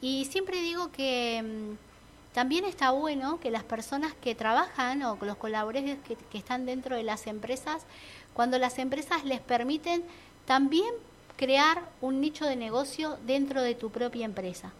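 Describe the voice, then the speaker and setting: A woman's voice: sits high, measured pace, a little hiss or hum. A woman with an Argentine Spanish accent, an entrepreneur chatting casually on a talk show, reflective and full of fillers and restarts. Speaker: entrepreneur guest. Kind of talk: casual radio chat.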